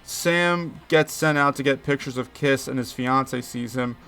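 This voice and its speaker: A man's voice: mid-range voice, measured pace, a bit bright, clean tone, faint music behind. A man in his thirties from the Philadelphia area, recapping a movie in a chatty, jokey way full of puns. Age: 30s. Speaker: movie commentary host. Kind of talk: casual movie recap commentary.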